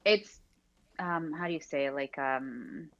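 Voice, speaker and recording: A woman's voice: deep voice, measured pace, highs cut off. A woman from Brazil, a real estate agent and guest, chatting with many fillers and restarts over a remote call. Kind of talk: casual remote interview. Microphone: headphones on a remote call.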